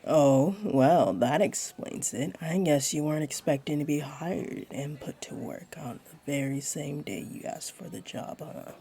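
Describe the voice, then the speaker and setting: A woman playing a flirty, teasing, laid-back jaguar beastling in a roleplay audio, with a deep, breathy, husky voice and a playful, soothing delivery. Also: a little slow, few pauses, warm tone, a little hiss or hum. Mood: teasing, reassuring. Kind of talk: roleplay audio acting, addressed to one listener.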